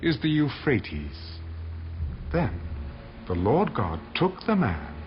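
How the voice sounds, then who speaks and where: A man narrating dramatized scripture in a solemn, measured manner. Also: medium pitch, huge pitch swings, slight background noise. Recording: dull audio under loud music.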